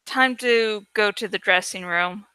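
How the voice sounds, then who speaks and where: A woman's voice: mid-range voice, measured pace, bright, forceful tone, smooth, no echo. A woman voicing a confident, teasing teenage girl who gives orders to her friend in a storybook reading. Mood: bossy. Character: teenage girl narrator-heroine, brisk, bossy, teasing friend.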